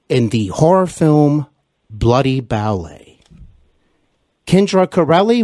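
Guy hosting an interview podcast, polite and deliberate, highish husky voice, very up and down, dry sound. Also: darkish tone, no room sound. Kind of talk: scripted introductions and polite interviewing.

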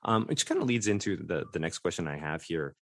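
Podcast host: low-pitched man, curious interviewer, loose rambling questions, dry mic. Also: animated, quick pace, fairly bright tone, dry recording. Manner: curious, thinks aloud with fillers. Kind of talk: casual interview talk.

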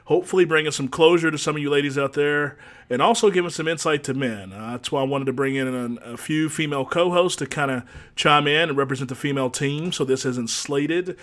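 A man hosting a casual dating talk show, relaxed and joking, with a drawl. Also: fairly high pitch, fairly quick. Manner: easygoing, joking, drawls y'all. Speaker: host.

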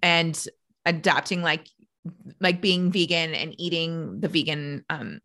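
A woman's voice: sits low, measured pace, bright, forceful tone. A woman hosting a podcast, chatting casually with a guest, laughing often and filling her talk with "like". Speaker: podcast host, trainer.

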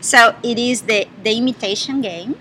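A woman's voice: on the high side, moderate pace, a crowd in the background. A woman whose first language is Portuguese, chatting as a podcast guest, laughing often and searching for English words. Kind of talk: casual podcast chat.

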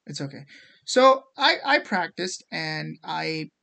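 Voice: mid-range voice, very animated, takes it slowly, creaky, bright, forceful tone. A speaker of unclear sex, from India, with an American accent that carries traces of Indian, giving a casual, opinionated rant in a theatrical, sarcastic manner. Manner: theatrical, sarcastic, swearing, acts out mock quotes. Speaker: host of the show.

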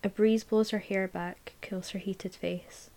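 A woman narrating a novel aloud in a measured, sometimes hushed voice. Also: mid-range voice, fairly even intonation. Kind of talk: audiobook narration, present tense.

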